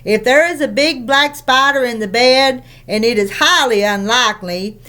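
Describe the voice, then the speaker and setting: A woman voicing a relaxed, mild-mannered father in a children's story. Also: fairly high pitch, normal speed. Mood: lazy. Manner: mild, yawning, easygoing.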